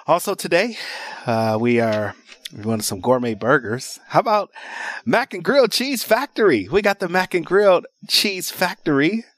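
A man's voice: a little high, big pitch swings, measured pace, no echo. A man hosting a live radio shopping show from a studio, rattling off deals in an upbeat sales patter and repeating the call-in number. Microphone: studio microphone.

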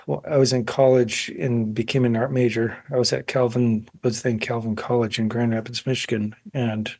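A man in his sixties talking reflectively in an interview, thoughtful and halting as he searches for words. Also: mid-range voice, a little mellow, no room sound. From grew up in South Korea as child of missionaries. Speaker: guest, painter.

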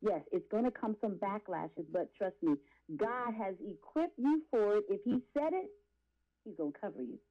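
A woman's voice: mid-range voice, lively intonation, moderate pace, lo-fi sound. A woman in her late forties giving testimony as an interview guest, speaking in an impassioned, preachy, emphatic way with repeated phrases. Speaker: guest, R&B and gospel singer.

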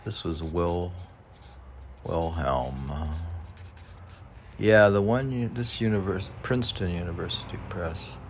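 A man talking casually and haltingly, musing and muttering to himself as he reads aloud. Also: low-pitched, slight background noise. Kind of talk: casual rambling talk while reading poems aloud.

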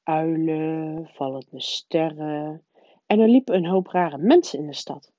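A man's voice: sits high, sing-song, dark tone, slightly rough, dry recording, highs cut off, heavily acted. Woman voicing a nervous, mumbling suburban husband. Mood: nervous, hesitant. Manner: mumbling, halting, hesitant. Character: nervous, hesitant middle-aged suburban husband, cautious and mumbling.

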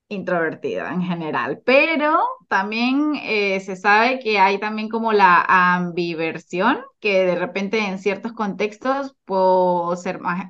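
A woman's voice: mid-range voice, a bit bright. A woman with a Latin American accent chatting casually as a podcast guest, a little hesitant, on slightly dull lo-fi audio. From Venezuela and Chile. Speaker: podcast guest, Spanish teacher. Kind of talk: casual interview chat.

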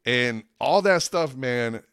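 A man's voice: medium pitch, animated, slow pace, fairly bright tone, clean tone, dry recording, clean studio sound. A man from the Reno area of the United States, a stand-up comedian chatting casually on a podcast in a rambling, earnest, slangy way with some swearing. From United States, Lake Tahoe and Reno area of Nevada. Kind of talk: casual podcast chat, anecdotal.

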